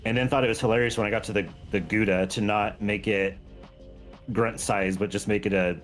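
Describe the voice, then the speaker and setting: A man's voice: lowish voice, fairly even intonation, fairly quick. A man who makes game mods, chatting casually and playfully as a guest on a gameplay stream, with music behind him. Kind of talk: casual chat while playing a game.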